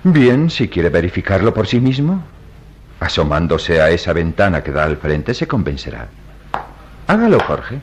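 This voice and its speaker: Very deep-voiced, solemn radio-drama priest. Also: male voice, big pitch swings, a little hiss or hum. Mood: calm, grave. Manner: measured, hesitant, solemn. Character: grave, reticent priest guarding secrets. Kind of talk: Latin American radio drama acting.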